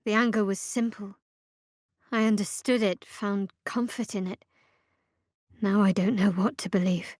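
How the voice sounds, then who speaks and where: A woman playing a guarded, wry fantasy cleric adventurer in a video game, with a dry, measured, faintly sardonic delivery. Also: medium pitch, steady tone, darkish tone, dry recording, close to an everyday voice. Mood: reflective, sober.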